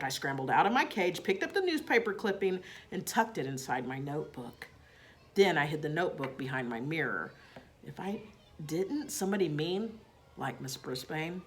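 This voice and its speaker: A woman reading a children's storybook aloud in expressive narration. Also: low voice.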